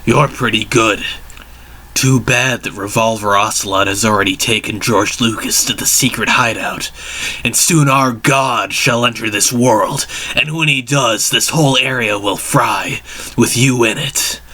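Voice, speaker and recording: A man voicing a cocky, menacing male hedgehog henchman with a gruff, taunting manner in a story reading. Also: mid-range voice, unhurried, sharp tone.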